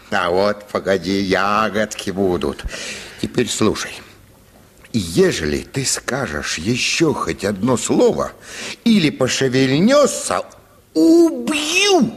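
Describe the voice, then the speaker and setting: An actor playing a stern, rough barber in a radio play, commanding, folksy and threatening. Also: male voice, mid-range voice. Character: stern, rough male barber, menacing and righteous.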